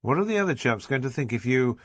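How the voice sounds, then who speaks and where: Friendly yard detective sweet-talking a kid, a bit husky, lots of lift in the voice, narrator doing him. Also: male voice, mid-range voice, big pitch swings, quick pace, no echo, dull audio, voice pushed up. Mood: coaxing. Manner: coaxing, friendly persuasion. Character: affable Scotland Yard detective, coaxing and patient.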